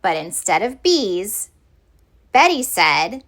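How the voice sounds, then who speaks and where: A woman reading a children's picture book aloud as storyteller, with expressive, deliberate phrasing for young listeners. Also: medium pitch.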